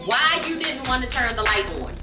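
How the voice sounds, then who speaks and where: Animated high-voiced woman telling a funny story. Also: moderate pace. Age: adult. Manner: animated, exaggerated, repeats phrases for emphasis. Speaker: vlogger telling a family story. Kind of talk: casual comedic storytelling. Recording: roomy, distant mic, music behind. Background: background music.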